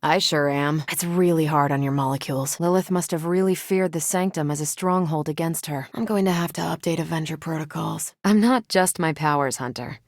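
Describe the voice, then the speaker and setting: A woman's voice: low voice, brisk, hardly any pauses, no echo, studio quality. A young woman with an American accent playing a confident, wisecracking superhero in a video game, wry and punchy. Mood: confident banter. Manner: wry, punchy, self-assured. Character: confident, wisecracking, battle-ready female superhero. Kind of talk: video game character voice lines.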